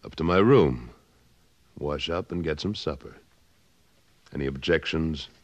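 A man's voice: rumbling low, animated, measured pace, full character voice. An actor playing a tough, self-assured cattleman hero in an old-time radio western, terse and firm, with an American accent. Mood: cool, determined.

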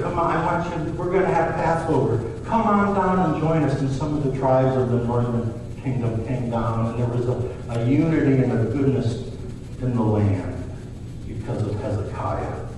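A middle-aged man preaching a sermon in a church sanctuary, telling the story in a conversational way and voicing the characters he quotes. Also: mid-range voice. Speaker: pastor. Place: church sanctuary, large room. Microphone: far camera at back of church. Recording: distant roomy church recording with hiss.

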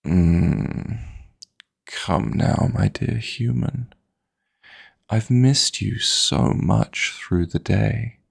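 A man voicing a possessive, flirty yet tender dream demon lover in an intimate ASMR sleep-aid roleplay, coaxing in a husky, breathy voice with formal endearments. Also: deep voice, a little mellow, full character voice. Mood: seductive longing. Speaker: audio roleplay performer.

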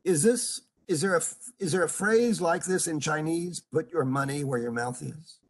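An older man teaching an online seminar as a professor, speaking haltingly with many fillers and stopping often to put questions to his students over a video call. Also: on the high side. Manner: halting, full of uhs, asks students questions. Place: home room on a video call. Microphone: video call computer mic.

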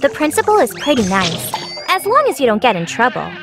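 Bubbly kid giant girl for a cartoon, super high n bouncy, chatty, music behind. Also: brisk, full character voice. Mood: chatty, confiding. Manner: excitable, chatty. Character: bubbly, gushing young giant schoolgirl. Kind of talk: animated children's story dialogue.

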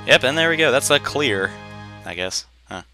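Bright, forceful young man gaming. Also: medium pitch, lively intonation, normal speed, soft background music. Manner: rambling, slangy, jokey asides. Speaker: gaming video host. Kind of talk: casual let's play commentary.

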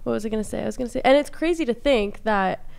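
A young woman co-hosting a podcast, encouraging and chummy. Her voice is clean with a gravelly edge at the ends of phrases. She speaks into a close studio mic. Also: on the high side, rapid-fire, a little mellow. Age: 20s. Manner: encouraging, says man and brother a lot. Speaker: podcast co-host. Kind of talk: casual chat. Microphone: studio mic on boom, headphones.

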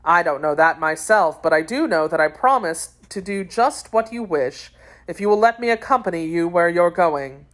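A woman's voice: lowish voice, lively intonation, moderate pace, fairly bright tone. Casting: woman reader as young male gallant, under twenty, persistent, earnest, courtly audiobook delivery.

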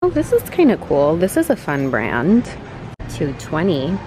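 Young female vlogger, very lively pitch, exclamatory and playful, chatty shopping-haul delivery into a handheld camera. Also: measured pace, music in the background, low-quality recording. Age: young adult. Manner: playful, exclamatory, theatrical asides. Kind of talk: casual shopping vlog. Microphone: handheld camera at arm's length.

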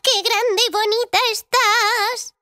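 A doting old grandmother coos tenderly over her granddaughter. Her voice sits very high and unhurried. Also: fairly even intonation, loud music behind the voice. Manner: affectionate and cooing.

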